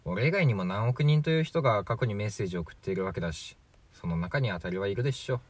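A man's voice: fairly low pitch, expressive, quick pace. A man playing a chatty, flippant 43-year-old from the future in a radio drama, glib and matter-of-fact. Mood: resigned, flippant. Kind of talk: amateur radio drama acting.

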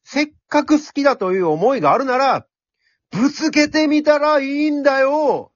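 A man voicing a brash, cheeky talking pencil in an amateur radio drama, speaking in rough, cocky, encouraging slang. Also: very high-pitched, moderate pace, no echo, highs cut off. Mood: urging, fired up.

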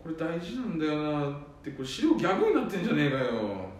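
A man's voice: fairly high pitch, huge pitch swings, fast. A very echoey, distant recording of a man acting a middle-aged salaryman in a radio play. He is a weary, henpecked eldest son who mutters and grumbles. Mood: anxious, restless. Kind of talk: amateur radio drama acting. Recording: echoey room, distant mic, faint noise.

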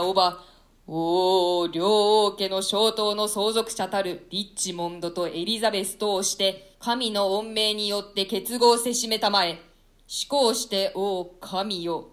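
A woman's voice: medium pitch, a bit bright, close to an everyday voice. A woman playing a pious, victorious noble leader in a classical play, declaiming in a solemn, formal way. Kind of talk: classical stage-play declamation in archaic Japanese.

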